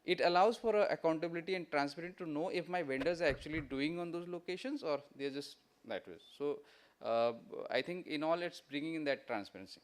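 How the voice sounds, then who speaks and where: A man's voice: a little high, a little fast. Indian-accented man explaining technical work. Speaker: presenter at an industry workshop. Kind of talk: technical conference presentation, spoken off the cuff.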